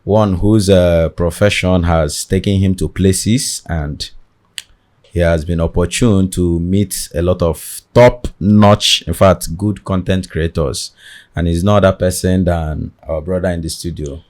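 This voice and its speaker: Nigerian man with a very low, deep voice, who hosts a podcast from a studio. He speaks in a formal, measured presenter style, welcoming his guest and addressing the viewers. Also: fairly even intonation, darkish tone. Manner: formal, deliberate presenter delivery. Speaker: podcast host. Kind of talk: podcast interview.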